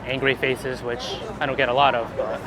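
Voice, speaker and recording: A man's voice: mid-range voice, little pitch movement, brisk, a crowd in the background. A man being interviewed as a guest, chatting casually and informally on a busy city street. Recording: noisy outdoor city street with traffic.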